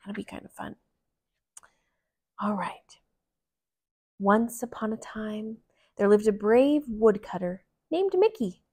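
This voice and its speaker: A woman reading a picture book aloud to young children in a gentle, deliberate manner. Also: medium pitch, animated, slightly rough. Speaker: children's storybook reader.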